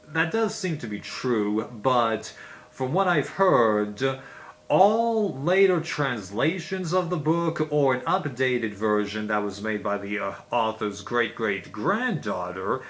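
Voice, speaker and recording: A man's voice: medium pitch, very animated, slow pace, fairly bright tone. A man giving a casual, unscripted explainer as a video host, in a rambling, conversational way.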